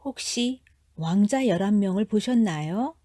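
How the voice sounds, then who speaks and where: A woman voicing a gentle, earnest fifteen-year-old storybook princess with a polite, plaintive manner. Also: medium pitch, expressive, a little slow, no room sound, clean studio sound, voice pushed up. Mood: polite, eager. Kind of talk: storybook character voice.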